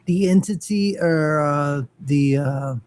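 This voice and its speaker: A man's voice: fairly high pitch, slow, a little mellow, clear, resonant tone. A man co-hosting a casual geek chat show, stammering and trailing off as he hunts for words. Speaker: co-host.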